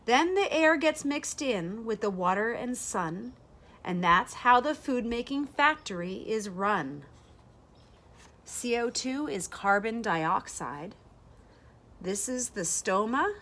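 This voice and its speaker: A woman reads a children's picture book aloud in a fairly high voice. Her pitch rises and falls in a lively way, and she takes her time, placing the rhymes deliberately and explaining as she goes. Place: small sunroom with large windows, indoors.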